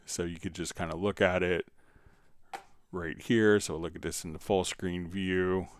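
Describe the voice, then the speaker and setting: A man hosting a casual, easygoing software tutorial, explaining steps and answering viewer questions. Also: deep voice, fairly even intonation, unhurried, slight background noise. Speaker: live stream host, software instructor.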